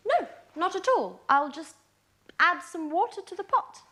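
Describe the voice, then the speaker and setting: High-pitched, coaxing woman friend. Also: full character voice. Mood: upbeat. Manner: deliberate, expressive, coaxing. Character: patient, reassuring woman friend, easily exasperated. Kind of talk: slow, clear scripted sitcom dialogue for learners.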